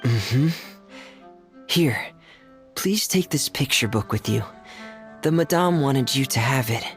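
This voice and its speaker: A gentle teenage boy from a video game, speaking softly and earnestly with some creak in the voice. Music plays lightly behind the measured lines. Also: medium pitch, lively intonation. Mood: gentle, reassuring.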